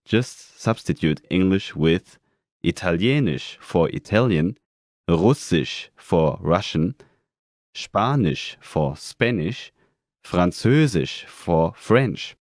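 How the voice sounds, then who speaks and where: A deep-voiced man gives slow, deliberate pronunciation drills and repeats each word twice. His delivery is very unhurried, and the recording is dry and close. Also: lively intonation, darkish tone, no echo. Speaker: German pronunciation speaker.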